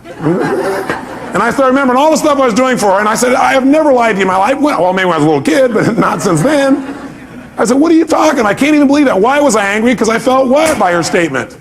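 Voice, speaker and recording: High-pitched man giving an emphatic motivational talk. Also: animated, quick pace. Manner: emphatic, acts out quoted reactions, repeats key points. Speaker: motivational speaker leading a seminar. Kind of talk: motivational seminar talk with rhetorical questions to the audience.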